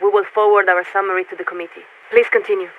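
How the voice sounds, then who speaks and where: A woman's voice: mid-range voice, little pitch movement, fast. Tinny radio voice, formal female warship officer. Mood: formal, probing. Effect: radio transmission filter. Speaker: military radio operator. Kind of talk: scripted video game voice acting. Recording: narrow, tinny radio link with static.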